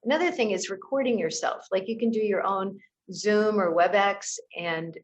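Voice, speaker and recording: A woman's voice: medium pitch, moderate pace. A woman speaking as a communication coach, giving conversational expert advice in an explanatory way with examples and rhetorical questions. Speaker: communication coach, interview guest.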